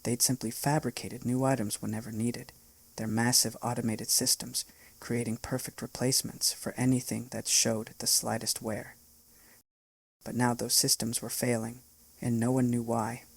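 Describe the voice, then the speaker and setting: A man narrating a science fiction short story in a steady, scripted read. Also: medium pitch, moderate pace, vocal fry, husky, low-quality recording.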